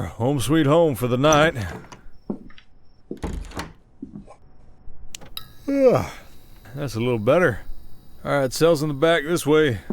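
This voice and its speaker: Man playing a gruff Old West werewolf sheriff, drawling. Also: medium pitch, close to an everyday voice. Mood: weary, explaining. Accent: American Western drawl. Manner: folksy, authoritative, scolding. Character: gruff, world-weary male werewolf sheriff of a frontier town. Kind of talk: ASMR roleplay acting, Old West.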